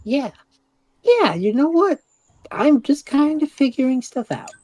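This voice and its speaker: A woman voicing a young male magic student in an audio drama, earnest, awkward and self-deprecating, speaking haltingly. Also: high-pitched, sing-song, darkish tone, rough recording. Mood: earnest, reflective. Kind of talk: audio drama dialogue, naturalistic.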